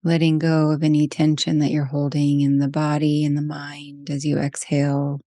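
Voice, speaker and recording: A woman leading a guided meditation, speaking in short, gentle phrases with long pauses. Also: low voice, completely flat delivery, no echo, clean studio sound.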